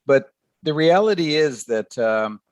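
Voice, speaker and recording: An older man from Canada, a professor answering interview questions by telling stories, with halting, repeated words. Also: fairly high pitch, lively intonation, no echo.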